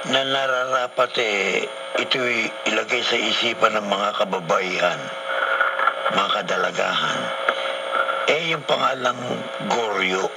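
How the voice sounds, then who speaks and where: A man's voice: lowish voice, unhurried, pauses often. A man anchoring radio news with casual, joking banter, teasing and repeating himself playfully, on a noisy AM radio recording.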